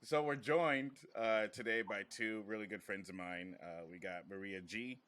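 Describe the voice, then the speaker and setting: Bright-voiced man hosting a casual podcast. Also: fairly low pitch, moderate pace. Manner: informal, profane. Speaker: podcast host.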